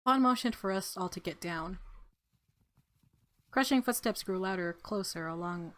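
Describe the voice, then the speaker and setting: A woman's voice: medium pitch, moderate pace, a bit bright. A woman hosting a let's play, reading visual novel narration aloud expressively and adding informal reactions. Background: game music.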